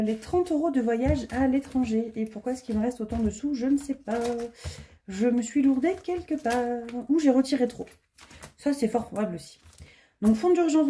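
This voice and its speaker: Chatty woman in her thirties, mellow voice. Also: sits high. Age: 30s. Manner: chatty, self-deprecating, laughs often, many fillers. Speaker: budgeting vlogger. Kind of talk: casual chat to camera. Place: home, indoors.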